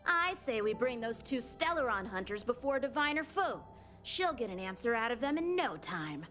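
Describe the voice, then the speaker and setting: High, lively, cocky young swordsman boy. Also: normal speed, faint music behind. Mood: defiant. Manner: brash, confident. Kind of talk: scripted video game voice acting.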